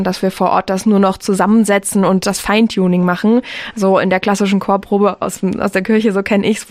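A woman's voice: medium pitch, darkish tone, dry recording. A woman, a choir member, explaining things casually as an interview guest. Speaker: choir singer, radio guest.

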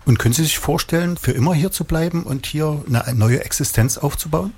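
A man's voice: on the high side, brisk, a little mellow, a little hiss or hum. A man interviewing guests for a radio show, asking polite, friendly questions.

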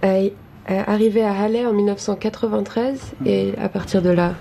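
A woman interpreting back and forth on a radio talk show, halting with frequent fillers and restarts. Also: medium pitch, fairly even intonation, creaky, mellow, a little hiss or hum.

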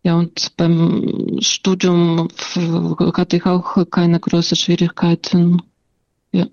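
Russian-accented woman, stutters a lot, slow and low-key, dull muffled sound. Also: monotone, a little mellow, no room sound. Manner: stutters, with blocks, repeated sounds and many "äh" fillers. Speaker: interview guest. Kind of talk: personal account and interview answers.

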